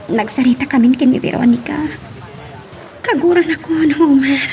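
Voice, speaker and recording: A woman's voice: sits high, moderate pace, a little mellow. An actress playing an earnest, emotional young woman caught up in romance in an Ilocano radio love drama. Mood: pleading. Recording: music behind the voice.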